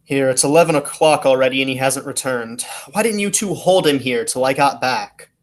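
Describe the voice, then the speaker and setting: Detective lead for radio play , male actor: mid-pitched, businesslike, earnest, questioning. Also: moderate pace, slight background noise, natural voice. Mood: intent, troubled. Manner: earnest, questioning, matter-of-fact. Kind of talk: 1940s radio play acting.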